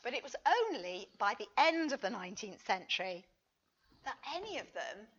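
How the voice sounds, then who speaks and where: A woman reading a scripted academic paper as a conference speaker, with measured, deliberate and emphatic phrasing. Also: medium pitch, lively intonation, a bit bright, muffled recording, a little hiss or hum.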